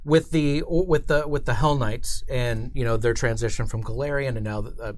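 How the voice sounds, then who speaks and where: Enthusiastic man hosting, halting delivery. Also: mid-range voice, dry recording. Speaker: host of a company livestream show. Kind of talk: casual chat, interviewing a colleague.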